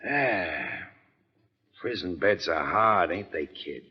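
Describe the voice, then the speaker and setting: An actor playing a tough, scheming American convict in 1940s radio style, with slangy, needling gangster talk and a rough voice. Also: male voice, deep voice, animated, takes it slowly, heavily acted. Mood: sly, needling. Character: tough, scheming male convict goading his cellmate. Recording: muffled old radio recording, long hollow reverb.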